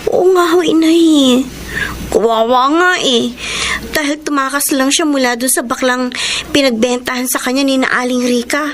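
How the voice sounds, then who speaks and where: An actress playing a caring, concerned young daughter and loyal friend in a radio drama, gentle and respectful in manner. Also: female voice, high voice, normal speed, slight background noise, lightly acted. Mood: sympathetic.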